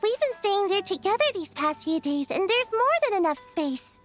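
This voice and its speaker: A woman voicing a spirited young girl adventurer in a fantasy video game, childlike and expressive. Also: extremely high pitch, normal speed, soft background music. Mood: casual, hesitant. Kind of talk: animated video game voice acting.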